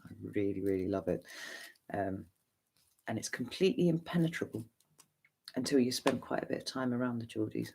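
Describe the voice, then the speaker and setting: Gravelly-voiced English man, candid ramble. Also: medium pitch, lively intonation, fairly quick, long pauses, a little mellow. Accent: neutral English. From England. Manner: rambling, candid, casual swearing. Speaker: tarot reader answering viewer questions. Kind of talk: livestream Q&A chat.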